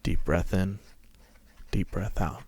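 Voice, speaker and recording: A man's voice: deep voice, fairly even intonation, takes it slowly, vocal fry, a little mellow, husky, no echo. A man narrating a scripted story as a podcast host, reading it dramatically.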